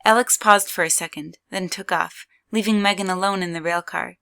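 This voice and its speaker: A woman's voice: fairly low pitch, fairly even intonation, fairly quick, gravelly, a bit bright. A woman reading scripted third-person story narration as the narrator of an audio drama.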